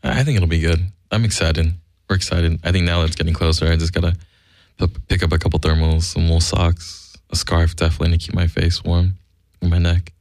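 Very deep gravelly man co-host. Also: little pitch movement, darkish tone, no echo, studio quality. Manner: laid-back, joking, halting restarts. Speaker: radio show co-host. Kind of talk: casual radio chat.

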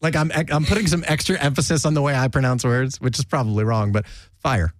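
A man's voice: medium pitch, steady tone, a little fast, no echo. A young man from New Jersey hosting a casual chat, talkative and self-mocking, laughing often. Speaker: podcast host.

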